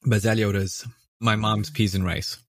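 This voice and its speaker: American man, low voice, casual podcast guest, very dry recording. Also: little pitch movement, moderate pace, fairly bright tone, dry recording. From New Mexico, United States. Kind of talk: casual chat.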